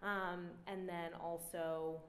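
A woman in her thirties giving an artist talk at a standing mic, relaxed and reflective, pausing often with "um". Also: lowish voice. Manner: relaxed, reflective, many "um" pauses. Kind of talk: presenting her artwork with slides. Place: indoor gallery room before an audience. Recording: roomy gallery sound, faint noise.

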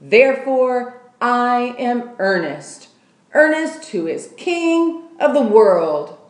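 A woman reading a storybook, voicing a boastful short man who crows loud, drawn-out proclamations as king of the garbage. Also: a little high, animated, roomy sound, pitched above the performer's own voice. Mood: boastful, exultant.

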